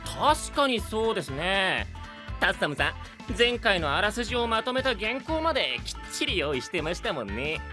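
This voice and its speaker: Teasing anime drama knight. Courteous, theatrical, high-pitched and highly animated, slyly mock-sweet. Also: male voice, moderate pace, over music. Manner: courteous polite speech with mock-sweet melodrama. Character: polite, sly, theatrical young fantasy knight, teasing. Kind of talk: anime audio drama acting.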